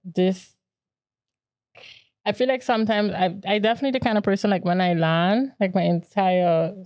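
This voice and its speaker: A woman's voice: mid-range voice, fairly even intonation, moderate pace, a little mellow, no echo. A woman, talking casually and reflectively as a podcast co-host. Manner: informal, reflective. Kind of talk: casual conversation.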